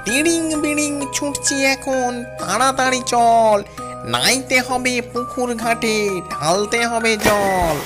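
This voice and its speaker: A playful cartoon rhymer performed by a woman chants nonsense verse slowly in a high voice. Music plays clearly behind the words.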